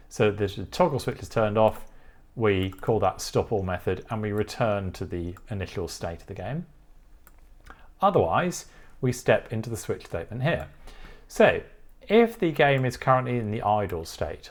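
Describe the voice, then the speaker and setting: A man's voice: on the low side, a little mellow. A man giving a methodical, step-by-step technical tutorial as the presenter. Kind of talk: explanatory coding tutorial walkthrough.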